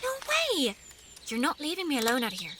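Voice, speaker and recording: A woman's voice: on the high side, big pitch swings, fairly quick, background noise. A woman playing a feisty, skeptical young sister in an audio drama, sassy and exclamatory. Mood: anxious.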